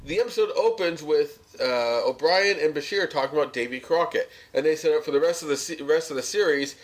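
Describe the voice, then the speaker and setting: A man's voice: a little high, fairly quick. A man chatting casually as a podcast co-host, recapping a television episode.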